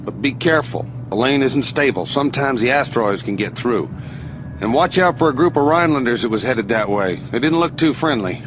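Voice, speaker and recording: A man's voice: medium pitch, expressive, brisk, background noise, lightly acted. An actor playing a cocky, swaggering male space racer who taunts with a showy manner, in a game cutscene. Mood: friendly. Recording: game cutscene with loud music.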